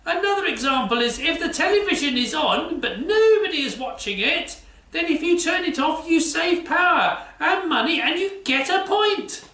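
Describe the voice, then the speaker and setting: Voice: extremely high pitch, very animated, normal speed, sharp tone, exaggerated voice. A presenter voicing a zany, eager know-it-all science doctor in a children's church show, talking in a theatrical sing-song. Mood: upbeat instructive. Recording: roomy sound from a distant mic.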